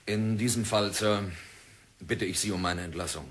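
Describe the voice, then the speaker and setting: An actor playing a stubborn, zealous young geologist in a German radio play, speaking earnestly and insistently, with deference to a superior. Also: male voice, lowish voice, moderate pace, fairly bright tone. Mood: insistent, fervent. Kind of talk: German radio drama acting.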